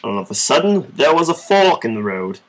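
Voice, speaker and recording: A man reading a children's story aloud in an informal, measured amateur way. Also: mid-range voice, sing-song, poor audio. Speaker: storybook reader.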